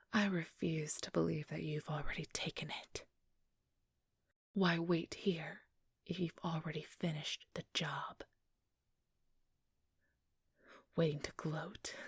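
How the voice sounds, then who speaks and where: A woman's voice: fairly low pitch, gravelly, breathy edge, dry recording, heavily acted. A woman playing a haughty, teasing, ageless vampire thief in an ASMR role-play, whispering breathily and condescendingly close to the microphone. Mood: suspicious, teasing. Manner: condescending, teasing, drawn-out delivery. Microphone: close, dry microphone.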